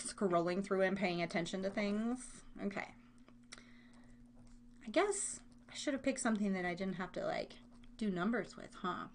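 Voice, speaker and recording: An American woman with a fairly high voice hosts a live stream trivia game. She chats casually and playfully with her listeners, dropping in little asides as she goes. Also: a bit bright. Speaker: live show host running a trivia game.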